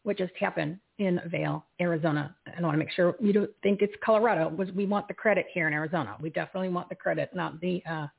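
Raspy woman on a phone line. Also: fairly low pitch, quick pace, hardly any pauses. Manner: rambling, loses her train of thought, laughs at herself. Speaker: radio show host. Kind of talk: casual live radio talk.

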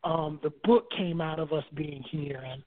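A man from Barbados, a guest and author, telling his life story in a relaxed way, repeating words as he thinks and giving homely examples. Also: on the high side, measured pace. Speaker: guest, author and marriage minister. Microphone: phone. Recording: tinny phone line.

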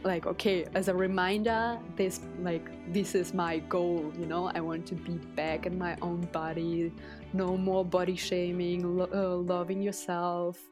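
A woman's voice: lowish voice, level tone, measured pace, over music. A woman with a Russian accent, a Russian native speaker, talking as a tattoo artist guest in a casual interview, hesitant and filling her speech with "like".

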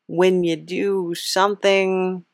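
A woman hosting an improvised comic interview, speaking in a hesitant, halting and bemused way. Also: lowish voice, little pitch movement, gravelly, dry recording, clean studio sound. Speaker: podcast host interviewing a character.

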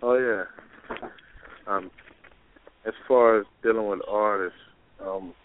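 Deep, flat-toned man on the phone. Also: slow, a little hiss or hum. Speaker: poet and showcase promoter. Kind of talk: casual panel discussion. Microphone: telephone. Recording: tinny phone-line call-in audio.

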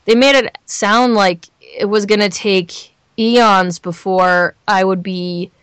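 A woman with a Southern California accent talking candidly in an interview as a voice actor, emphatic and expressive in how she tells things. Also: mid-range voice, takes it slowly, clean tone, dry recording. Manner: animated storyteller, emphatic repetition, acts out imagined lines. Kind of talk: candid, chatty interview talk.

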